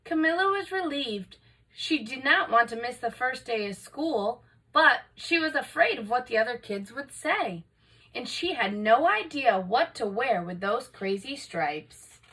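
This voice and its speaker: A woman reading a children's picture book aloud in an expressive, dramatic storytelling manner. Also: on the high side, unhurried, slightly rough, far from the mic, low-quality recording. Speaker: storybook reader.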